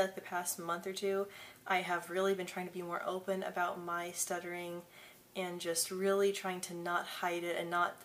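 Casting: woman, 20s, vlogger with a heavy stutter, low flat delivery, bedroom camera mic. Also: slight background noise. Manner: stutters with repeated syllables and blocks. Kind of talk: casual talk to camera. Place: small bedroom indoors. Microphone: camera mic at desk distance.

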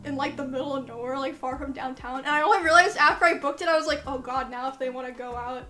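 Streamer woman, high bright voice, chatty with quiet trailing asides, roomy bedroom, faint music. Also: fast. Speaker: streamer host. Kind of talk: casual chat on a live stream. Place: bedroom streaming room full of plush toys.

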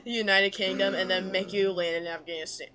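A woman improvising a comedic cartoon fan dub, giggly and halting, swearing freely as she goes. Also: medium pitch, fairly even intonation, sharp tone. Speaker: amateur fan dubber.

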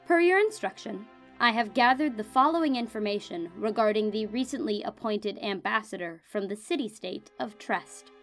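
A woman playing a cool, haughty royal spy reading a formal, measured, disdainful report in an audio drama. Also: medium pitch, lively intonation, close to an everyday voice. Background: music under the voice.

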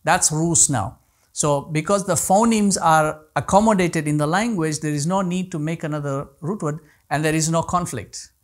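A man's voice: fairly high pitch, moderate pace. A man in his thirties whose first language is Kutchi, teaching a class from a small room and explaining things with many restarts. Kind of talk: online class lecture answering questions. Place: small indoor living room.

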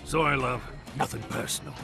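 A man voicing a sleazy, greedy mercenary in a video game, gravelly and sarcastic. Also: mid-range voice, fairly quick, over loud music. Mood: leering sarcasm. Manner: leering, sarcastic. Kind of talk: video game fight banter.

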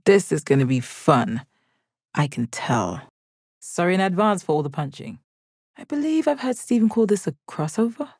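A woman playing a stoic, confident, commanding gem warrior in a video game, with a measured, deadpan delivery. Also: fairly low pitch, dry recording, studio quality, heavily acted. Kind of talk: video game character battle lines.

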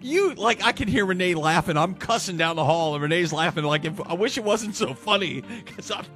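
A middle-aged male radio host with a lively voice that rises and falls a lot. He chats in a casual, self-mocking way, with faint music playing underneath. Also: high-pitched, fairly quick. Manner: chatty, self-mocking, animated delivery. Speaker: radio morning show host.

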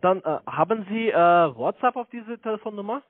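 A man with a foreign accent, not a native German speaker, giving a halting sales pitch as a call-centre investment salesman. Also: lively intonation, no room sound. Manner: halting, searching for words. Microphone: telephone. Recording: tinny narrow-band phone line.

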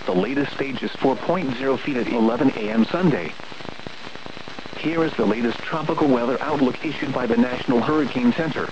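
A man's voice: medium pitch, vocal fry, highs cut off. A synthetic American man's voice reading a scripted weather bulletin over radio, formal and clipped in short phrases. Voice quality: synthetic text-to-speech voice. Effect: radio broadcast. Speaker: automated weather radio announcer. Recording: static-laden weak-signal weather radio reception. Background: radio static.

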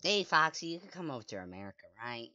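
Female gaming host, very low voice, playful teasing chat, muffled mic. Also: big pitch swings, quick pace, pushed and bright. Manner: playful, teasing, gasping and exclaiming. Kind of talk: casual gameplay chat with a friend.

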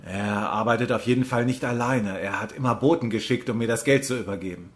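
A man's voice: medium pitch, fairly quick, lightly acted. A man playing a weary, evasive, easily intimidated doctor in an audio drama.

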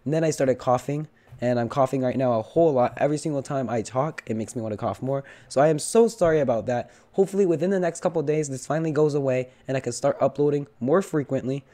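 A young man with a hoarse, cracking voice from illness, chatting casually as he opens loot boxes and pleads for better luck. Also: medium pitch, fast, mellow. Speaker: gaming channel host. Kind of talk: casual game commentary.

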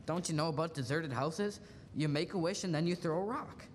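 A man's voice: brisk, close to an everyday voice. A young man playing an earnest, restless small-town dreamer in a radio play, talkative and stammering. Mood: eager, playful. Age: young adult. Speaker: student actor. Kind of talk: live radio play in 1940s manner. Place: large school auditorium stage. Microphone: stage stand microphone. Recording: echoey auditorium, faint hiss, rumble.

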